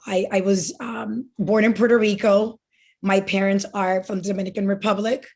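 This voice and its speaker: Woman in her 40s, flat even delivery, earnest campaign pitch with ums and restarts. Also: moderate pace. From Miami. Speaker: judicial candidate. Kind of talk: campaign speech at a candidate forum.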